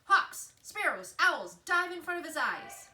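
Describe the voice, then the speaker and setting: A woman voicing a commanding owl who screeches battle orders in a children's storybook, forceful and hooting. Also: very high-pitched, very animated, unhurried, recorded from a distance, voice pushed up, full character voice. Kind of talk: children's storybook read-aloud. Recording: echoey room with faint noise.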